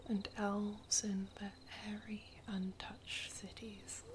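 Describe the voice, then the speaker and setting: A woman playing a wistful, lovelorn elven princess in a whispered ASMR roleplay, soft, breathy and halting. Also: medium pitch, background noise, natural voice.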